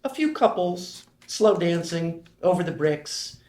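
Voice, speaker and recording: A man's voice: fairly high pitch, animated, unhurried, a little mellow, echoey room. A man reading the stage directions of a screenplay at a table read.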